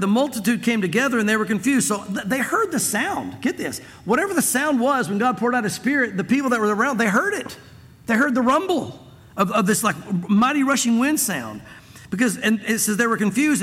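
A man's voice: extremely high pitch, a bit bright, long reverb tail. A man preaching a conversational sermon, full of asides and restarts, in a church. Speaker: pastor. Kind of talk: expository sermon.

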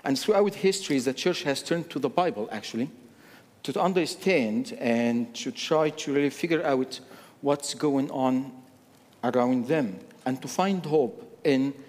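Slow preacher in a reverberant hall. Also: male voice, mid-range voice, darkish tone. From Egypt. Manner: slow, deliberate, repeats key phrases. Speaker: guest preacher. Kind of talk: sermon. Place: church sanctuary. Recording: long church hall reverb.

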